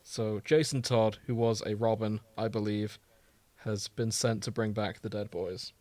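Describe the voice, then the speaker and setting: A man's voice: lowish voice, steady tone, measured pace, a little mellow, dry recording. A man co-hosting a podcast, chatting casually about fandom. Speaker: podcast co-host.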